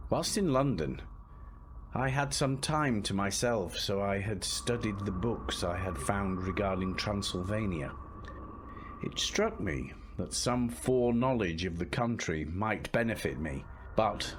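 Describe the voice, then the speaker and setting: A man playing an earnest, polite Victorian English gentleman, narrating his travels formally in a radio drama. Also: deep voice. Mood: apprehensive, dramatic. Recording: clear background ambience noise.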